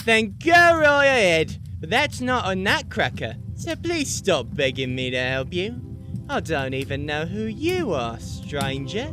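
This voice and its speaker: A high-pitched, sneering villain captain. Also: male voice, very animated, unhurried. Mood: cold, dismissive. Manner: mocking, theatrical, commanding. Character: sneering, menacing male captain of toy nutcracker soldiers. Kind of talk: dramatic audio roleplay villain acting. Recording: music behind the voice.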